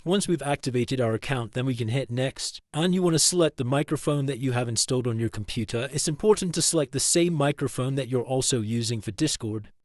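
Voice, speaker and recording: A man's voice: mid-range voice, darkish tone, no echo. Man narrating a tutorial, dry close sound. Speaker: tutorial host. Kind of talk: scripted step-by-step software tutorial narration.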